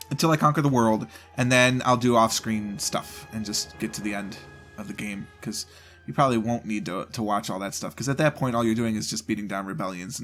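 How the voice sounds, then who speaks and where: A man's voice: mid-range voice, a bit bright. Stuffed-up man rambling through an update. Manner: rambling, loose, self-interrupting. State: stuffed up with a cold. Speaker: gaming channel host. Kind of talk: casual solo channel update. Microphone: home desk mic, voice only.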